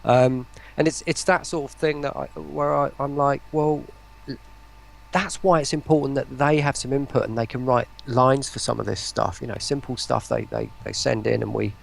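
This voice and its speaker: A man with a gravelly, creaky voice talks informally as an interview guest. His pitch is middling. He rambles, doubling back on his sentences as he goes. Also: steady tone, moderate pace. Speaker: voice pack creator and interview guest. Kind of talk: casual stream chat.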